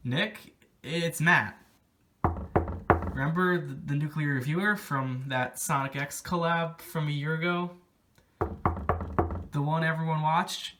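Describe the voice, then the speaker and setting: A man with a slightly high voice talks casually in a comedy skit, sounding hesitant and puzzled. Clear noise and small clicks sit behind his voice. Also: measured pace, long pauses. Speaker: guest reviewer in a comedy skit. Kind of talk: casual scripted skit dialogue.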